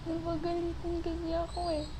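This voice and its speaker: A woman's voice: very high-pitched, monotone, brisk. A young woman with a Filipino accent chatting casually as a playful prank caller, with noise behind her. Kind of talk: casual phone chat.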